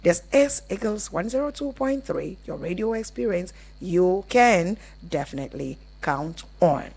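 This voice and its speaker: A woman's voice: lowish voice, expressive, unhurried, gravelly, darkish tone, slightly rough. A woman with a Nigerian accent presenting a live radio call-in show in a conversational, emphatic way. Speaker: radio relationship show host.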